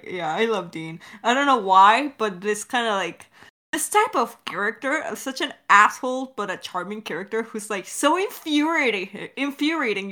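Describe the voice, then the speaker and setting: A woman talking casually as a creator about a production, effusive and halting, with plenty of swearing. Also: medium pitch, pushed and bright. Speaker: podcast creator answering questions. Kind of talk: casual behind-the-scenes discussion.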